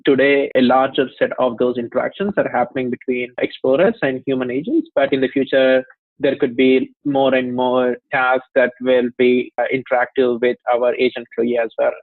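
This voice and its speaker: A man's voice: medium pitch, no echo. Indian man on a tinny phone line. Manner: explanatory, talks at length with frequent "you know" and light laughs. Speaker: company CEO, guest. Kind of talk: interview conversation.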